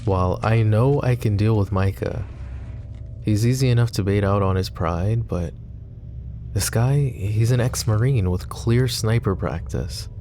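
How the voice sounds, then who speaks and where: Brooding mafia boss, a man in the role, deep and unhurried, close-mic ASMR, sweary and protective. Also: natural voice. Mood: candid. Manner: calm, confiding, casually profane. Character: possessive, protective adult male mafia boss. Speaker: voice actor. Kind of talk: boyfriend ASMR roleplay.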